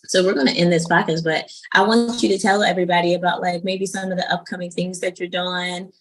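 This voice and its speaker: A woman's voice: fairly low pitch, steady tone, a little fast, a little hiss or hum, rough recording. A woman in her late thirties hosting a casual podcast interview, chatty and self-deprecating, with lots of "um"s. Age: 30s. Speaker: podcast host.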